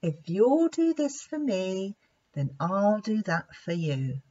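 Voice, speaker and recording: A woman voicing a wise, patient male lion who teaches gently and deliberately in a children's storybook. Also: mid-range voice, very animated, dull audio. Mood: patient, instructive. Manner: slow, deliberate, instructive.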